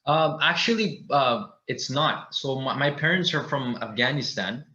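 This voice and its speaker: Bright-voiced man, roomy dull audio. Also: medium pitch, lively intonation, unhurried. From Canada. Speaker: imam, interview guest.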